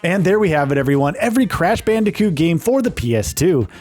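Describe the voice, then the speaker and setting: A man hosting and narrating a scripted countdown video in an upbeat presenter style, announcing each entry clearly. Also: a little high, sing-song, fairly quick, darkish tone, faint music behind.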